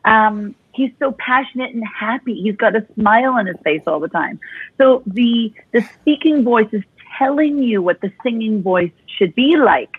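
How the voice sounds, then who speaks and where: A woman's voice: fairly high pitch, animated, moderate pace, breathy edge, no echo. A woman vocal coach as an interview guest, explaining expressively and demonstrating voices, talking with her hands. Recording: tinny phone-like dry sound.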